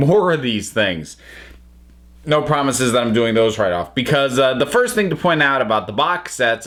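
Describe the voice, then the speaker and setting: A man's voice: medium pitch, a bit bright. A man reviewing audio dramas in a casual, conversational way, thinking aloud and interrupting himself as he goes. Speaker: reviewer, channel host.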